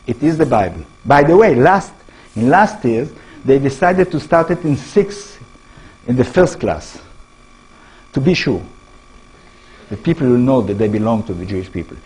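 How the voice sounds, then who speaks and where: A man with an Israeli accent, a native Hebrew speaker, giving a public talk as an author, animated and full of rhetorical questions to the audience. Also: mid-range voice, moderate pace, pauses often, slightly rough, poor audio. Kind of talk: public lecture.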